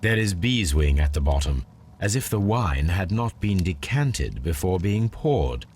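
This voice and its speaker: Victorian English detective, game. Low register with expressive swings, composed, exact, quietly deductive. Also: male voice, noisy background. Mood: musing. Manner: deliberate, precise, musing. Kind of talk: video game voice acting.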